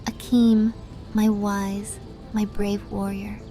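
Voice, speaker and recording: Woman acting tender resigned witch princess, soft mellow creaky voice, pleading in half whispers, audio drama w music. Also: medium pitch, little pitch movement, slow pace, lightly acted.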